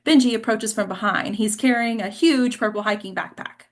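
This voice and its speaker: A woman's voice: a little high, normal speed. A woman hosting a table read and narrating a screenplay's stage directions. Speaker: host and stage directions reader.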